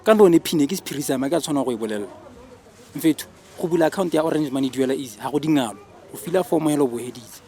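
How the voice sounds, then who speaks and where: A man's voice: mid-range voice, lively intonation, very fast, darkish tone, natural voice. A man playing a reluctant, no-nonsense boss in a radio drama, with a Botswana accent and slangy, admonishing speech. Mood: reluctant, scolding.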